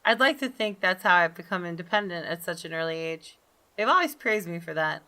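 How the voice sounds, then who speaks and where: A woman's voice: lowish voice, lively intonation, a little fast, pushed and bright. A woman reading a visual novel aloud as dramatic first-person narration, now and then stumbling and rereading a phrase.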